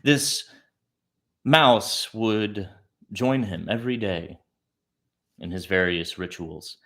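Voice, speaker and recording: A man narrating as a storytelling host, speaking deliberately in short phrases with pauses, as if for a bedtime tale. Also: on the low side, a bit bright.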